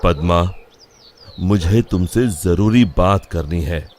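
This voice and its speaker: A man's voice: deep voice, slow, a little mellow, slight background noise, voice pushed down. A man voicing a stubborn village father who dotes on his son, in an insistent, explaining manner for a children's story.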